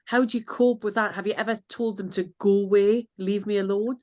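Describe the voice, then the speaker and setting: A woman's voice: medium pitch, steady tone, a little fast, a little mellow. A woman hosting a casual chat, asking guests questions. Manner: curious, asks questions. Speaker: host.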